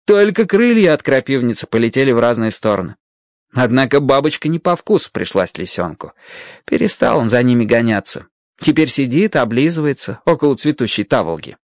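An expressive narrator reading a children's animal story in a dramatic, scripted storytelling manner. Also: mid-range voice, no room sound. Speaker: audiobook narrator.

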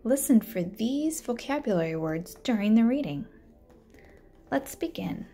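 A woman's voice: a little high, animated, a little slow, warm tone, a little hiss or hum. A woman reading a children's story aloud as a learning coach, introducing and narrating it.

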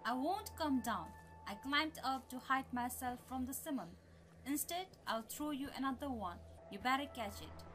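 A woman playing a wary, clever young village girl in an animated folktale. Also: high-pitched, normal speed, faint music behind. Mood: reluctant. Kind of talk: animated folktale character acting.

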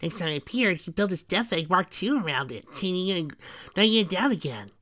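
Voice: medium pitch, lively intonation, brisk, a bit bright, no room sound, clean studio sound, voice pushed up, natural voice. A performer voicing an earnest, cheerful young boy fox sidekick, eagerly explaining in a light, youthful tone.

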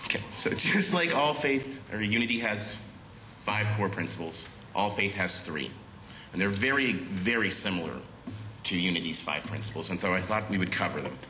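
A man's voice: deep voice, expressive, normal speed, pushed and bright, a little hiss or hum. Nasal man with a cold, echoey church. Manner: a little nervous, earnest. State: has a cold, slightly nasal. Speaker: guest speaker. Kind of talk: church talk with prayer. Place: church sanctuary at a pulpit, indoors. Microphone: far camera with pulpit microphone. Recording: roomy echo of a church hall.

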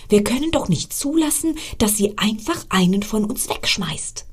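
A woman voicing a worried magic shoe in a bedtime story, hushed and half whispered. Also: mid-range voice, lively intonation, moderate pace, husky, voice pushed up.

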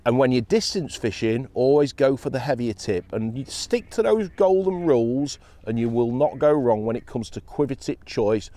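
Animated man explaining fishing by a lake. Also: medium pitch, normal speed, few pauses. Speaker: professional angler. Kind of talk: outdoor fishing tutorial. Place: outdoors by a lake.

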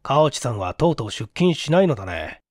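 A man voicing a sensible, teasing senior clerk in a story reading, measured and slightly authoritative. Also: medium pitch, sing-song, no echo, studio quality. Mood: musing.

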